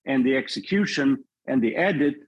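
A male filmmaker being interviewed on a podcast, talking in a measured, reflective way and often restarting his sentences. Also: mid-range voice, lively intonation, slightly rough. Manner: thoughtful, measured, with frequent restarts. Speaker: filmmaker guest.

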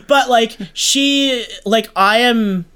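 Podcast producer, casual interview chat, effusive, gushing, medium pitch. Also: animated, very slow, sharp tone, clear, resonant tone.

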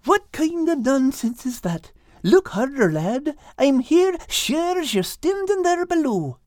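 A cheeky, folksy Irish tomcat in a storybook. The voice is high and swooping, chirpy and chiding, in a dry close recording. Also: male voice, very animated, takes it slowly, darkish tone, no echo, heavily acted. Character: big fluffy male orange cat, jaunty, cheeky, folksy.

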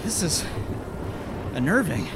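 A man's voice: a little high, huge pitch swings, slow pace, heavy background noise, full character voice. A man playing a nervy, wry member of a science team in a sci-fi audio drama. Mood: uneasy. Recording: loud music over voice.

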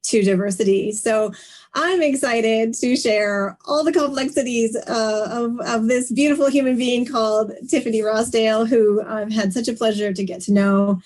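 A woman's voice: a little high, normal speed, a little mellow. A woman hosting a conversational interview, speaking in reflective, wordy phrases that often halt and repeat. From Canada. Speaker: live stream host, interviewer.

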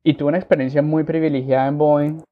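A man from Colombia, raised abroad, telling his life story as a podcast guest in a relaxed way with many fillers. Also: a little high, quick pace, narrow, tinny audio. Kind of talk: conversational interview.

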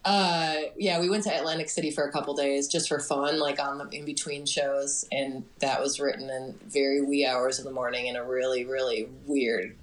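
A woman's voice: rumbling low, steady tone, normal speed, hardly any pauses. A woman, a musician guest, talking casually in a hesitant, giggly way. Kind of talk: casual interview chat.

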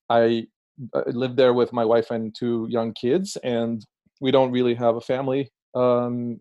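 A man's voice: mid-range voice, dark tone, no room sound. Israeli-accented man in his 30s, guest founder, hesitant, dry dull call audio. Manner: hesitant, lots of ums, light laughs. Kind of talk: interview answers. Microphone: remote call.

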